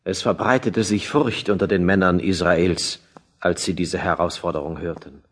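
A man's voice: low-pitched, steady tone, normal speed. A man narrating a biblical radio play as a solemn, scripted storyteller.

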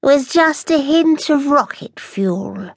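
A woman voicing an apologetic, slimy female alien who cooks on a spaceship, in a slow, high, plaintive way. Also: expressive, creaky, dry recording, clean studio sound, heavily acted. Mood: earnest.

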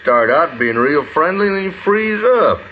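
An actor playing a hot-tempered, pushy frontier bully with folksy taunts in a 1950s radio western. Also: male voice, a little high, sing-song, moderate pace. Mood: pushy, sneering. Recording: noisy, muffled old radio recording.

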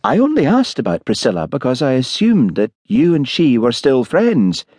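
A man's voice: mid-range voice, huge pitch swings, moderate pace, dark tone, breathy edge, dry recording, clean studio sound, voice pushed up. A man voicing a friendly, frank, slightly vague doctor's wife in an audiobook. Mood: comfortable, frank. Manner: vague, cheerful, chatty. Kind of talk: audiobook character voice.

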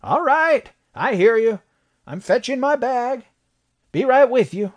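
Man voicing a brisk, high-pitched frontier doctor. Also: big pitch swings, darkish tone, breathy edge, exaggerated voice. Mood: hurried. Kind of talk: western audiobook character acting.

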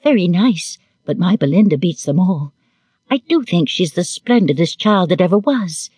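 A man's voice: high-pitched, expressive, moderate pace, a little mellow, breathy edge, no echo, studio quality, voice pushed up. A man voicing a sweet little girl in a storybook, doting and a little commanding.

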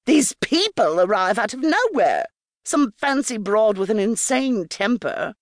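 A woman's voice: on the high side, very animated, a little slow, no echo, studio quality, voice pushed up. A woman voicing a wily, coarse, mean-tempered old hag in a storybook, brash and scornful. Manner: brash, scornful, talks with mouth full.

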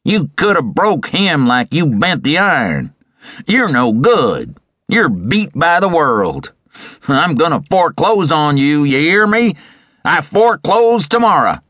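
Man acting a gruff moneylender, gloomy, hot-tempered, demanding, Western audiobook, swooping pitch. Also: very animated, measured pace, dry recording. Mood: furious. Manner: demanding, blustering, thundering.

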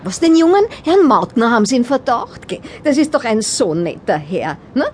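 An actress with a Viennese accent playing a gossipy, easily outraged apartment-house neighbour in a radio play. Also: female voice, on the high side, sing-song, normal speed, a little mellow, slight background noise. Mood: indignant. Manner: indignant, gossipy.

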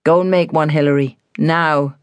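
A woman's voice: sits low, fairly even intonation, normal speed, no echo, lightly acted. Woman voicing a firm, reassuring estate manager. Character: firm, protective male estate manager, steady and caring.

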